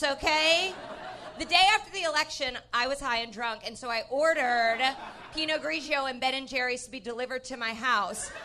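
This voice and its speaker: High, swooping, emphatic woman comedian. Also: noisy background. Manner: mock-earnest, emphatic, sweary. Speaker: comedy panelist. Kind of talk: comedic rant before a live audience.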